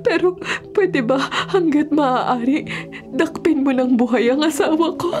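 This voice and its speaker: A woman's voice: high-pitched, a little slow. A woman acting an anguished, pleading Filipino wife in an emotional, melodramatic radio drama. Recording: radio drama with clear music bed.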